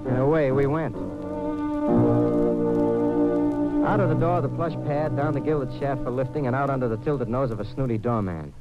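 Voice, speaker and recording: A man's voice: mid-range voice, fast, loud music behind the voice, lightly acted. An American actor playing a streetwise, wisecracking young tough guy in a 1950s radio detective drama, with slangy, wry delivery. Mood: wry narration. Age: young adult. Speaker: lead actor and narrator. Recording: muffled, noisy old radio recording.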